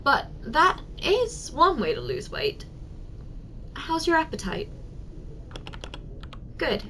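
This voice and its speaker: Woman playing a soft, high-voiced doting giant secretary. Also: wildly swooping pitch, slow, a little hiss or hum, heavily acted. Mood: playful, curious. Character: giant, doting, teasing female office secretary, tender toward tiny boss. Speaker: ASMR roleplay performer. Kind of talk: ASMR roleplay, addressing the listener directly.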